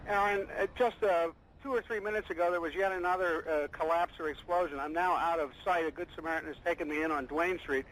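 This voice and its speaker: Male field reporter, fairly high voice, hesitant eyewitness account, noisy phone report. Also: steady tone, quick pace, fairly bright tone. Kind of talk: live eyewitness news report.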